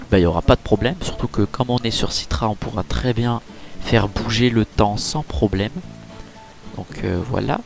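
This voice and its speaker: A man giving casual, chatty let's-play commentary over a game, full of fillers and quick reactions. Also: lowish voice, gravelly. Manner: chatty, filler-heavy, easily impressed. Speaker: gaming YouTuber. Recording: game music playing behind.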